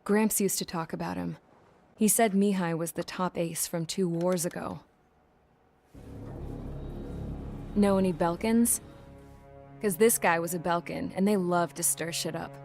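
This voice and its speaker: A woman's voice: fairly low pitch, fairly even intonation, normal speed, gravelly, darkish tone, faint music behind. A woman playing a tough, matter-of-fact female military mechanic in a video game cutscene. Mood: sardonic. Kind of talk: scripted game cutscene acting.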